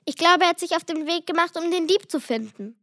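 An earnest primary school pupil, acted by a child in a children's audio play. The voice is high and the sound is dry. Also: animated, a little fast, fairly bright tone, dry recording, full character voice. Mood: chatty.

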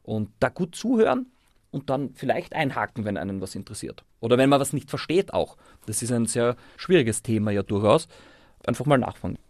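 A man's voice: mid-range voice, sing-song, fairly quick, darkish tone, no echo. An Austrian man of forty speaking informally and encouragingly, like a teacher explaining things to pupils. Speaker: primary school teacher and musician. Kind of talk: casual explaining and coaching.

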